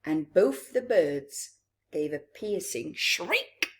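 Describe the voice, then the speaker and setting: A woman reading a picture book aloud to children, deliberate and expressive, with long pauses and small asides. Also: fairly low pitch. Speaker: children's storytime reader.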